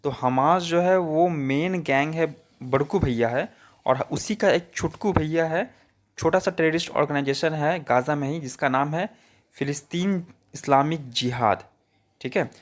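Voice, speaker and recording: Man, Indian accent, slightly high voice, colloquial opinionated explainer to viewers. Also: measured pace. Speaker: commentator. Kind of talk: informal explanatory news commentary to viewers.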